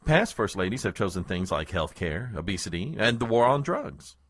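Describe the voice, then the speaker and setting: An actor playing a nervous, exasperated political aide who speaks with hesitant, strained politeness. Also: male voice, lowish voice, very animated, normal speed, fairly bright tone, no room sound, clean studio sound, heavily acted. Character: deferential, exasperated, nervous male presidential aide. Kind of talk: comic audio drama acting.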